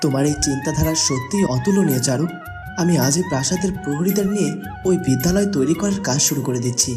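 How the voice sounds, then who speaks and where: A man's voice: on the high side, quick pace, over music, close to an everyday voice. A performer voicing a kind young prince praising his wife's plans. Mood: admiring.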